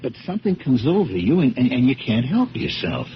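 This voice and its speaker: An actor plays a neurotic but consoling police detective, coaxing and insistent. His medium-pitched voice moves around a lot, in 1970s radio drama style. The recording is dull. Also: very animated, a little fast, slight background noise, close to an everyday voice. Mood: soothing, coaxing.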